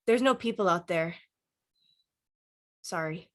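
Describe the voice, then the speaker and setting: A woman's voice: lowish voice, slow pace. A woman reading a wry, musing teenage dreamer. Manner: dry, musing, teasing banter. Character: Dante, a dry, wry, star-loving teenager. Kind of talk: table read of a film script.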